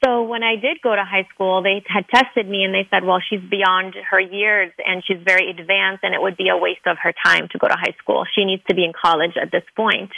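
A woman's voice: mid-range voice, flat delivery, brisk, gravelly, hardly any pauses, dry recording, narrow, tinny audio. A middle-aged woman from Lebanon, Arabic her first language, telling her story earnestly as an interview guest. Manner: earnest storytelling, many fillers. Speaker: guest, dentist. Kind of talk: interview conversation.

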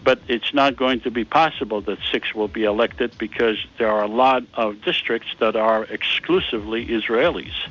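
A man being interviewed on a radio talk show, patiently explaining his plan in halting speech full of "uh"s. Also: on the low side, a bit bright. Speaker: guest, founder of a peace initiative. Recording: slightly dull lo-fi radio sound.

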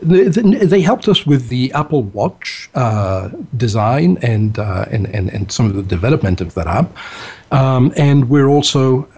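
A man's voice: mid-range voice, lively intonation, a little slow, a little mellow, husky. A man being interviewed as a bank executive, answering with frequent ums and uhs. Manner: hesitant, frequent uh and um.

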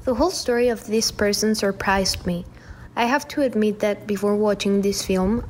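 A woman's voice: mid-range voice, darkish tone, slight background noise. Earnest young woman. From Mexico. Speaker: student guest. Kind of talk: reading a prepared film response.

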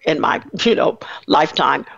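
A woman hosting an interview, asking long, winding questions and offering steady affirmations. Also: low-pitched, normal speed, creaky. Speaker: host. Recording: dull lo-fi line.

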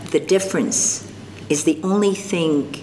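An older woman from North Africa, speaking as an interview guest, slow and thoughtful with frequent pauses. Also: fairly low pitch, mellow. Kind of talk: reflective interview conversation. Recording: long reverb, faint background noise.

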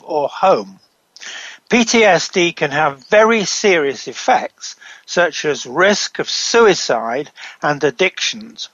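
A man hosting a talk show, reading a scripted introduction in a measured way. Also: fairly high pitch, pushed and bright, dry recording. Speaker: host. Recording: muffled, dull, dry sound.

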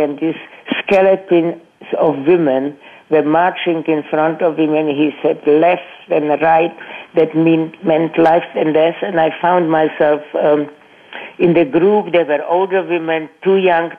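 An older woman giving her personal testimony in an interview, speaking halting, non-native English with frequent restarts and repeated words. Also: deep voice. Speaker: Holocaust survivor guest. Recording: tinny phone line.

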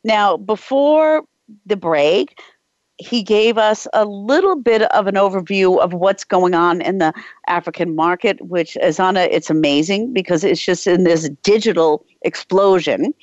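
A woman's voice: medium pitch, a little slow, no echo. A woman hosting a radio interview show, talking in a friendly, conversational way. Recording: dry, slightly dull radio studio sound.

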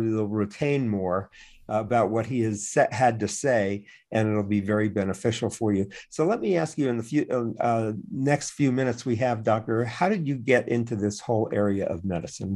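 A man's voice: medium pitch, darkish tone. A man hosting an interview, asking questions and reading out web addresses in an encouraging way. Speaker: interview host.

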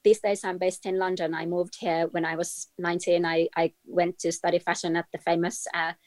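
Woman guest, low flat voice, dry sound, halting delivery. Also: no echo. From Finland. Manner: measured, many "uh" hesitations. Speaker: guest, trend forecaster. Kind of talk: casual interview.

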